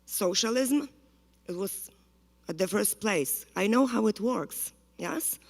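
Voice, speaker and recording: Parent at the podium: Polish-accented woman, gravelly edge, urgent and halting, echoing meeting hall. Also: medium pitch, animated. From Poland. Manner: earnest, pleading, halting speech. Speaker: parent giving public comment. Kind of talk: public comment at a school board meeting. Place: school board meeting room. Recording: reverberant hall.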